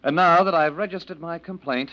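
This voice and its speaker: A man's voice: on the high side, brisk, close to an everyday voice. An American actor playing a sarcastic, articulate male boarder with florid mock-polite speech, in mid-century radio drama style. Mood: sardonic complaining. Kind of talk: 1940s-50s American radio drama acting. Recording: dull vintage radio recording.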